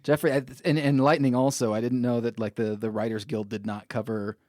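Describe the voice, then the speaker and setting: Male podcast host, medium pitch, dry sound, informal conversational delivery. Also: flat delivery, brisk, darkish tone, no room sound. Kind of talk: casual chat.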